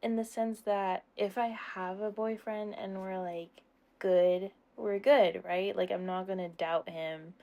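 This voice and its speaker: A young adult woman answering questions in a casual, informal chat, hedging often and gently poking fun at herself. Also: fairly low pitch. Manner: informal, hedging, self-deprecating. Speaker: ASMR roleplay creator answering subscriber questions.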